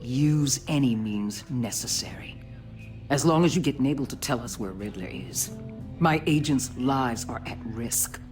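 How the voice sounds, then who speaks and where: A woman's voice: very deep voice, measured pace, a little mellow, faint music behind. Ruthless, commanding female agency chief. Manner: hard, blunt, authoritative. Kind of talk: dramatic video game acting.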